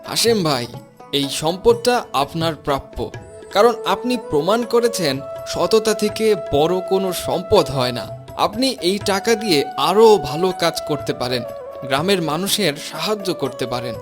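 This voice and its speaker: High-voiced, authoritative village imam played by a man. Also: animated, unhurried. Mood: solemn, declaring. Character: village imam, fair-minded, authoritative male elder. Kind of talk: Bengali moral cartoon dialogue. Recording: music behind the voice.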